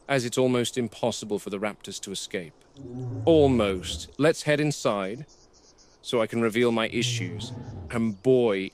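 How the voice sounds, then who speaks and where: An actor playing a gruff, wary game warden, speaking in a measured, sardonic way as if recording a log for a found-footage horror film. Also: male voice, medium pitch, close to an everyday voice. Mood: dry, explanatory.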